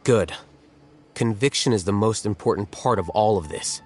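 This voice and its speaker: A man voicing a calm, scholarly strategist in a video game, speaking in a measured, explanatory way. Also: on the low side, natural voice. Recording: game audio with faint music.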